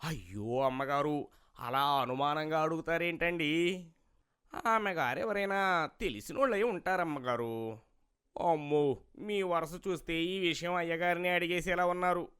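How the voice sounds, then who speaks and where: Guy doing a greedy veggie seller for a cartoon, kinda high clear voice, sly wheedling folksy hawker talk. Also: measured pace, a bit bright. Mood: feigned innocence. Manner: wheedling, deferential folksy street-vendor talk. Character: sly, greedy, smooth-talking male vegetable seller. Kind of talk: cartoon moral story character acting.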